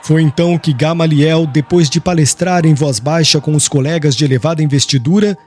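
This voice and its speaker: A man's voice: on the high side, steady tone, a little fast. A man narrating an audiobook in a formal, literary and dramatic scripted style. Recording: soft music underneath.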